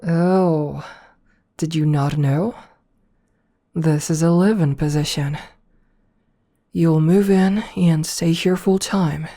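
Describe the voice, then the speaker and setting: A woman playing a rich, possessive, seductive female CEO in an ASMR roleplay, softly formal and coaxing, with a politely commanding manner. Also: sits low, steady tone, heavily acted. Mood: confident, persuasive. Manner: slow, softly formal, coaxing with pet names.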